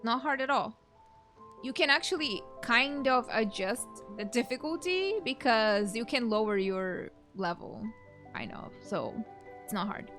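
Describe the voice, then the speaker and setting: A woman's voice: fairly high pitch, animated, a little slow, over music. A young adult woman giving casual, rambling let's play commentary as a game streamer. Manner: informal, rambling, frequent "like".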